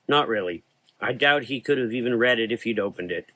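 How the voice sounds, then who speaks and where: A man's voice: medium pitch, animated, bright, forceful tone. Man playing a weary, brooding occult hunter. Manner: measured, ominous, formal. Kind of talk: gothic horror audio drama dialogue.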